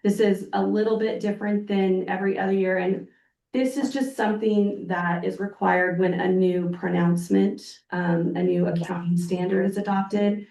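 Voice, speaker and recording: A woman with a voice made rough by a cold walks a board through an audit report. Her tone is plain and explanatory. She is picked up by a mic some way off in a roomy meeting room. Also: mid-range voice, steady tone, moderate pace, warm tone, recorded from a distance. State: hoarse from a cold. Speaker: external auditor. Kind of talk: presenting a financial report at a public board meeting.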